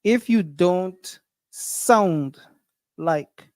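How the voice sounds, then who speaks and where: A man's voice: high-pitched, extremely slow, no echo, clean studio sound. A man with a Guyanese accent hosting a casual livestream, thinking aloud with many fillers and restarts. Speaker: livestream host.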